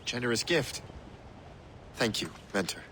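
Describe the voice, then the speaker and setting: An actor playing a cocky, easygoing young assassin trainee in a video game, casual and wry. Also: male voice, mid-range voice, animated, unhurried, bright, forceful tone, slight background noise. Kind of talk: dramatic video game cutscene acting.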